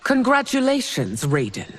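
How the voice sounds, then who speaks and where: An actress playing a stern, imperious empress and mother in a fantasy video game, speaking formally and with measured command. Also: fairly high pitch, huge pitch swings, a little hiss or hum. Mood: proclaiming. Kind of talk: cinematic video game voice acting. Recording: dramatic music behind the voice.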